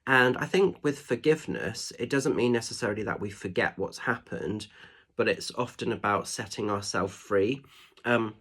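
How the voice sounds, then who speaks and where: Male medium with a fairly low, husky, nasal voice; chatty, rambling, reassuring card reading. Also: normal speed, fairly bright tone. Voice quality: nasal, stuffed-up. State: congested with hay fever or a cold. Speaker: spiritual medium and tarot reader. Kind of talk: casual oracle card reading.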